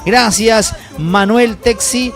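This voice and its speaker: A man hosts a live stream, his voice pitched high. He talks quickly with many fillers, and faint music plays behind him. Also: a bit bright. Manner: rapid, upbeat, salesy, many fillers. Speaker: livestream host. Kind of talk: live broadcast shout-outs and sponsor ad.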